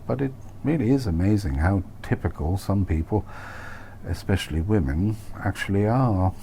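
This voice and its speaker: Low-voiced man narrating, muted tone, some noise. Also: dark tone. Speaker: author reading own novel. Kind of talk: first-person audiobook narration.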